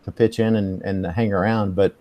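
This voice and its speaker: A man's voice: on the low side, fairly even intonation, normal speed, dark tone. A man in his fifties hosting a casual live stream chat, rambling, swearing and losing his place. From Texas. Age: 50s. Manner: rambling, swears, loses track. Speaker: host.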